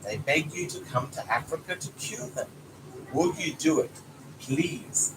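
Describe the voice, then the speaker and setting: A man's voice: fairly high pitch, animated, unhurried, distant mic. A man voicing an earnest, pleading monkey messenger from a children's storybook. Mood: urgent pleading. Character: Chee Chee, urgent pleading male monkey messenger. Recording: noisy home recording with background sounds.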